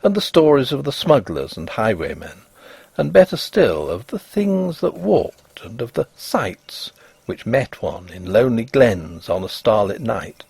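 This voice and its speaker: A man narrating a literary story in a measured, expressive storytelling manner, as for an audiobook. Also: medium pitch, vocal fry, breathy edge, dry recording.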